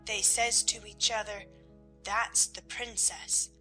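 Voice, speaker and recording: Young Cockney scullery maid, bright high voice, deferential, timid, stammering, slow, storybook drama. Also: female voice, steady tone, faint music behind. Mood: awed. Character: frightened, humble young scullery maid girl.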